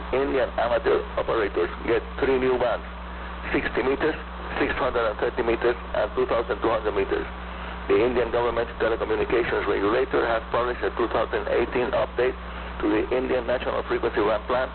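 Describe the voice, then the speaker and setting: Through a noisy, narrow shortwave signal comes an older man with a Cuban accent. His voice is low and gravelly. He hosts a scripted radio bulletin in a deliberate, emphatic way. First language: Spanish. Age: 70s. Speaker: radio host. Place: radio studio, heard over shortwave.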